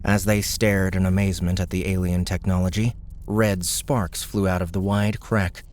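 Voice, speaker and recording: A man's voice: sits low, little pitch movement, moderate pace, darkish tone, slight background noise. A man narrating scripted fiction as an audiobook narrator.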